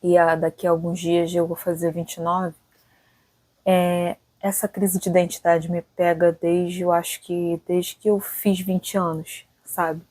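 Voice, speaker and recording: A woman's voice: lowish voice, flat delivery, unhurried, mellow, no echo. A woman in her late twenties hosting her own channel, chatting casually and thinking aloud, often tagging her sentences with little questions. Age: late 20s. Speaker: channel host. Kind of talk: casual reflective vlog talk.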